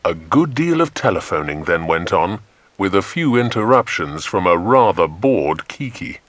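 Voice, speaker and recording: Low-voiced, animated British male narrator. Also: moderate pace, dry recording. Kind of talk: dramatised children's adventure audiobook narration.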